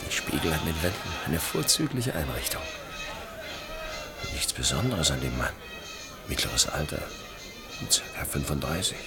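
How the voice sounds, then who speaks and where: An actor playing a suave, cynical journalist in a German radio play, talking with ironic, teasing gallantry. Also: male voice, rumbling low, very animated, moderate pace, long pauses, loud music behind the voice, heavily acted. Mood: nonchalant. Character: suave, cynical male journalist chasing money, world-weary adventurer.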